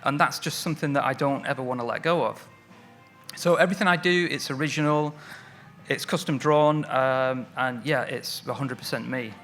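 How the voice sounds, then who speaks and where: Man, bright forceful voice, stage talk, faint music behind, chatty with fillers. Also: mid-range voice, moderate pace. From Manchester, England. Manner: friendly, self-deprecating, many fillers. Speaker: lettering artist giving a talk. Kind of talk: conference talk. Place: stage at an evening event.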